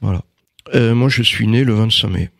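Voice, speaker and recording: A man's voice: lowish voice, normal speed, vocal fry, a bit bright, no echo, studio quality. A man giving an interview in casual conversation, speaking hesitantly with many fillers and restarts. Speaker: interviewee, writer and magnetizer.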